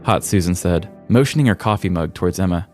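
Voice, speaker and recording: Low-voiced American man telling comic stories. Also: lively intonation, fast, soft background music. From United States. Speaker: podcast host. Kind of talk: scripted comedic story narration.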